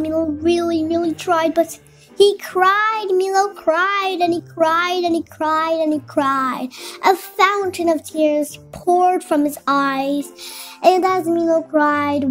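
A woman reading a children's picture book aloud in an expressive, drawn-out way for young children. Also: extremely high pitch. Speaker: children's storyteller.

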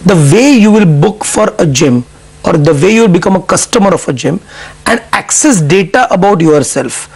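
Husky, high-voiced businessman. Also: expressive, darkish tone. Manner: measured, explanatory, businesslike. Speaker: CFO guest. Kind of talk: business news interview.

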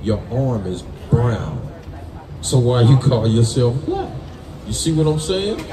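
A man's voice: medium pitch, sing-song, slow, a crowd in the background. An American man preaching as a street Bible teacher, emphatic and colloquial, punctuating his points with "right?" and "man". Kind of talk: call-and-response Bible teaching. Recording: distant roomy mic amid people's noise.

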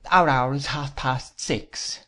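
A narrator voicing a prim, dignified elderly spinster aunt who speaks in a formal, measured, pompous way. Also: medium pitch, fairly bright tone. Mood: prim, stately.

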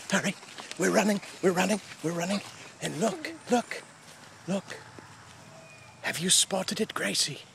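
A man plays nature show host outdoors, drawing his words out slowly and theatrically, at times hushed, often repeating phrases. It is a phone recording with clear insect noise around him. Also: on the high side. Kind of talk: playful mock wildlife documentary narration. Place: outdoors in a grassy yard by trees. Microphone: handheld phone camera, speaker behind it.

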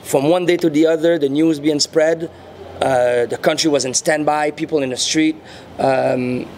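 Québécois man, unhurried interview answers. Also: fairly high pitch, steady tone, a little mellow, slight background noise. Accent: Quebec French. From French-speaking Canada. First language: French. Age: 30s. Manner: unhurried, searching for words, frequent fillers. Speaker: interviewee, muralist and rapper. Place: indoor venue before a painted backdrop. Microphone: handheld mics held near the chin.

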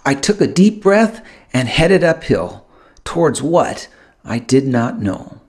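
A man narrating a first-person audiobook in an expressive storytelling manner, with dramatic pauses. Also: mid-range voice. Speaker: author narrating his own audiobook.

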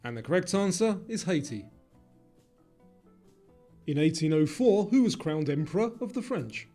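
A man with a fairly high, mellow voice narrates a scripted quiz. He reads in a measured, formal way, with faint music underneath. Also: big pitch swings. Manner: measured, formal question reading.

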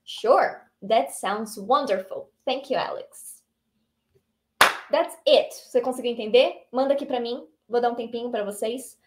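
A young Brazilian woman teaching English online from a small room, lively and encouraging, reading the English lines out slowly. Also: fairly high pitch, heavy background noise. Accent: Brazilian Portuguese. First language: Portuguese.

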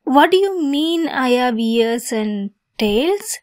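Sweet, slow-talking lovesick fox girl played by a woman. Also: high voice, lively intonation, darkish tone. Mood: coy, nervous. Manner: sweet, giggly, coy, obsessive. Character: lovesick, possessive young kitsune fox girl. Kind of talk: ASMR roleplay acting.

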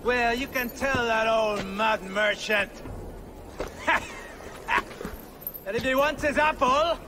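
An actor playing a menacing, mocking Norse soldier in a video game, taunting and drawing out his shouts. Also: very high voice, wildly swooping pitch, very slow, noisy background, heavily acted. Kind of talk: dramatic video game cutscene acting.

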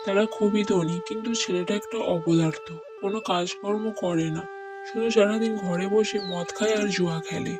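Man as scheming middle-aged uncle, greedy, gleeful, conniving, cartoon, clear background music. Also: sits high, fairly even intonation, moderate pace. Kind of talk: cartoon moral-story voice acting.

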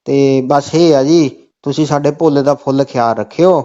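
A man's voice: on the high side, fairly even intonation, moderate pace, warm tone. A man with a Punjabi accent making a comic phone call, talking insistently and falling back on the same stock phrases. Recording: dull, lo-fi phone call recording.

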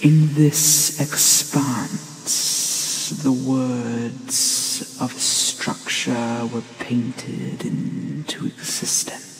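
Ancient dragon mentor played by a performer, ASMR fantasy roleplay, gentle and solemn, hushed, breathy fry, drawn-out pacing, long pauses. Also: medium pitch, slight background noise, rough recording.

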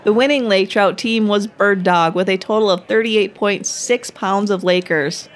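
A woman host giving upbeat, measured scripted narration. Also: mid-range voice. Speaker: show host.

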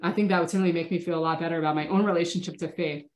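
A woman in her thirties with a lowish voice and a stuffy, sniffly cold teaches a class over a laptop webcam from her home study. She talks thoughtfully and casually, often restarting her sentences. The audio sounds roomy. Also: steady tone, racing. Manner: thoughtful, hesitant restarts, warm engagement with participants. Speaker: rabbi teaching an online class. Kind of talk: casual online lecture answering chat questions. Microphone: laptop webcam video call.

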